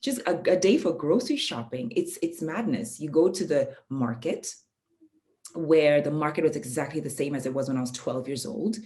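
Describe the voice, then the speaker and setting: Low-voiced woman, reflective. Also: lively intonation, dark tone. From Kenya. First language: Swahili. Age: adult, parent of a young child. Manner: reflective, pauses often with ums. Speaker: guest. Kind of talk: casual conversation.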